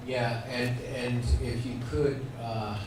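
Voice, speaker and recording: A man presiding over a public hearing as judge, speaking haltingly with many fillers and restarts. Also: mid-range voice, level tone. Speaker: administrative law judge. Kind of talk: procedural hearing questions. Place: hearing room. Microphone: room recording from a distance. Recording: echoey hall recorded from far off, loud background noise.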